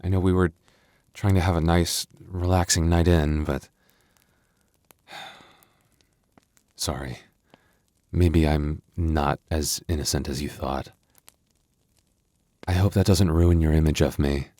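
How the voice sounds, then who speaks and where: A man's voice: a bass voice, steady tone, slow pace, long pauses, dark tone, no echo. A man playing a young werewolf boyfriend, tender but protective with a tough edge, in an intimate ASMR roleplay. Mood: apologetic, tender.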